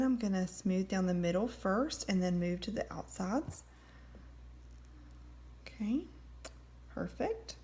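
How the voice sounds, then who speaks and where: A woman's voice: medium pitch, darkish tone. A woman giving a casual step-by-step manicure tutorial, explaining each step with frequent ums. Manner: friendly step-by-step instructions with frequent fillers. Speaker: nail product demonstrator.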